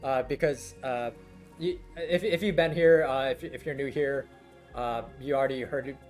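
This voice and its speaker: A young man streaming a game, chatting to viewers in a halting, rambling way full of fillers, his voice scratchy and worn down from talking for hours. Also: mid-range voice, steady tone, darkish tone, faint music behind. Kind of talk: casual live-stream chat with viewers.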